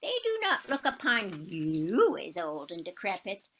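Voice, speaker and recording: A woman playing a frail but feisty, stubbornly proud old lady in a stage comedy, deliberate and theatrical. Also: lowish voice, huge pitch swings, a bit bright, a little hiss or hum, full character voice. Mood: stubborn, indignant. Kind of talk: stage comedy acting, one-act play.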